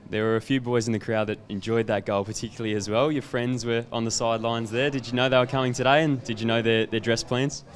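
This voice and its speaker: A man interviewing a footballer after a match, asking brisk questions into a handheld mic. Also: mid-range voice, fairly even intonation. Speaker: club interviewer. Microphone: handheld microphone.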